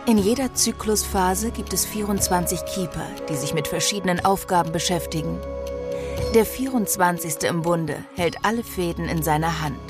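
A woman's voice: on the low side, normal speed, over loud music, close to an everyday voice. A woman performing as the solemn narrator of a fantasy audio drama trailer, reading a script. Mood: solemn, portentous.

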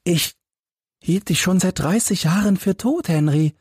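A man's voice: sits high, a little slow, a little mellow, breathy edge, dry recording, clean studio sound, pitched above the performer's own voice, exaggerated voice. A man reading an audiobook as a shaken married woman, trembling but trying to sound firm. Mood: trembling shock. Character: pale, shaken married woman hiding her fear. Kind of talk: audiobook character acting.

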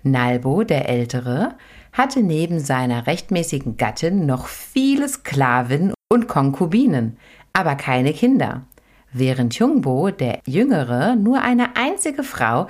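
A woman podcast host reading an old folk tale aloud in a lively, expressive storytelling manner. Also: a little slow. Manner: lively, expressive read-aloud with sudden high lifts. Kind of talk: storybook narration.

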